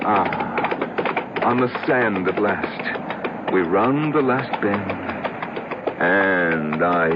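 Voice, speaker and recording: An actor playing a lonely, haunted older man confessing a cruel secret in halting phrases with dramatic pauses, in old-time radio style. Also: low-pitched, animated, very noisy, heavily acted. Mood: hushed, intense. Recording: dull lo-fi vintage radio, music behind.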